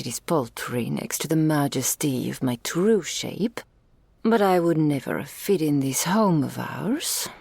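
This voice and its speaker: A woman's voice: low-pitched, gravelly, a little mellow, no echo, heavily acted. A woman voicing a doting, regal female dragon in human form, speaking intimately to the listener in ornate, archaic phrases as an ASMR roleplay.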